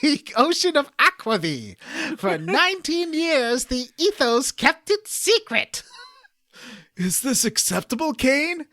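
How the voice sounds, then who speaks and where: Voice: sits high, big pitch swings, slow, pushed and bright, dry recording, pitched above the performer's own voice, heavily acted. A podcast host voicing solemn, grandiose godlike elders from a game, theatrical and pompous. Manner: theatrical, pompous, breaking into laughter.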